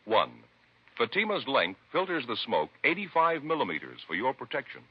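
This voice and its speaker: A man's voice: mid-range voice, huge pitch swings, moderate pace, husky. A man reading a 1950s radio advertisement as an emphatic, salesmanlike announcer. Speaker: commercial announcer. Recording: dull old radio recording.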